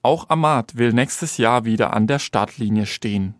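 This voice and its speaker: Male reporter, mid-pitched voice, scripted radio feature narration. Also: normal speed, crowd noise.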